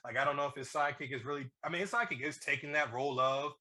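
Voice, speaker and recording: A man with a bright, punchy voice at an ordinary male pitch co-hosts a movie discussion. He talks in an excitable, rambling way, doubling back on his phrases and tossing in slang and swearing. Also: rapid-fire. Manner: excitable, rambling, repeats himself, swears freely. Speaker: podcast co-host reviewing a movie. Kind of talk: casual, slangy movie chat.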